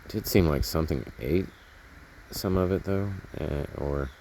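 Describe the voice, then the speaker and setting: A man's voice: extremely low pitch, normal speed, a little mellow, slight background noise. A man casually narrating hands-on garden work outdoors, rambling and thinking aloud as he goes, recorded on a handheld camera. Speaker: gardening vlogger.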